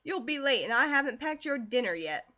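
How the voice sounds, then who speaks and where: A woman voicing a stern, sharp-tongued farm mother who scolds in clipped bursts, on a dull lo-fi recording. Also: on the high side, fairly quick. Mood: scolding.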